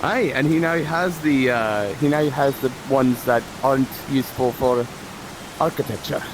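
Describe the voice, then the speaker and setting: A man's voice: mid-range voice, normal speed, close to an everyday voice. A man playing an earnest, eager peg-legged swordsman who is learning, in improvised fantasy roleplay. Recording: hissy, noisy live game voice chat.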